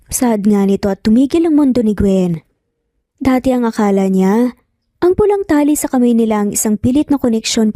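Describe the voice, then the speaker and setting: Woman narrator with a soft, mellow voice. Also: a little high, no echo, studio quality. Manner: acts out dialogue between narrated lines. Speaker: story narrator. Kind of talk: dramatized romance story narration. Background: background music.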